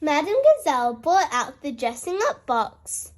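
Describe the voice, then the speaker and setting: A woman narrates a children's picture book aloud. Her voice is high and animated, and she takes her time over each phrase. The style is expressive read-aloud storytelling. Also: a little slow. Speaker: storybook reader.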